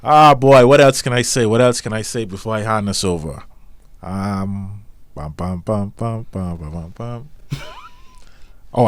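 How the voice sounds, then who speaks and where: A man's voice: on the low side, no echo. Nasal, laid-back young man. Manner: calm and laid-back, many "um" pauses. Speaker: podcast co-host. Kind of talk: casual chat.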